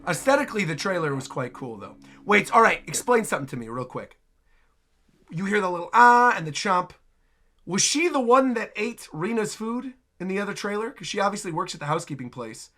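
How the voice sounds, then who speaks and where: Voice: mid-range voice, fairly quick. A host reacting to game trailers in casual, exclamatory commentary, talking half to themself as they watch. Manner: animated, exclamatory, talks to self.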